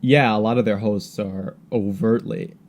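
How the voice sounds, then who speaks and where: Low-pitched, mellow man talking politics casually. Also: steady tone, unhurried. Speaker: podcast host.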